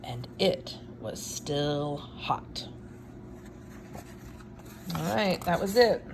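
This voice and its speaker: Woman storyteller, low register with creaky edges, expressive picture-book reading, offhand wry commentary. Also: drawn-out words, background noise. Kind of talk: read-aloud storytime with casual asides.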